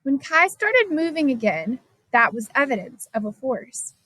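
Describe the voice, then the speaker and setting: A woman reading a children's science story aloud as scripted narration, expressive and clearly phrased. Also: high-pitched, a little slow, rough recording. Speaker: storybook reader.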